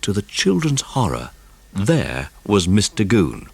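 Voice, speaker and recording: A man's voice: on the low side, huge pitch swings, slow pace, vocal fry, husky, no room sound, heavily acted. A man narrating a dramatised children's storybook. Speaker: audiobook narrator.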